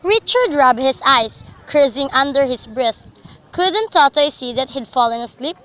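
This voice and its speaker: Bright, high-voiced young woman narrating. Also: animated, unhurried. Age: young adult. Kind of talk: scripted dramatic story narration. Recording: faintly noisy amateur recording.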